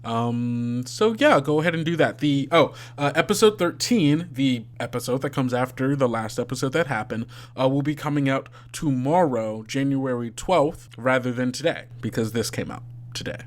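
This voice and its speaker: Mid-pitched male game master, casual and rambling. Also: expressive, moderate pace. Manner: informal, conversational, rambling. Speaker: game master, podcast host. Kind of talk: casual improvised narration.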